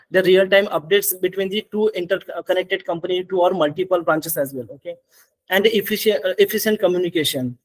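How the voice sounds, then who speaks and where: A man with an Indian accent walking through software slides as a webinar presenter, in halting, repetitive phrasing. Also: sits high, low-quality recording. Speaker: webinar presenter, ERP consultant. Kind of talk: software demonstration and slide walkthrough. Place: indoor room, on a video call.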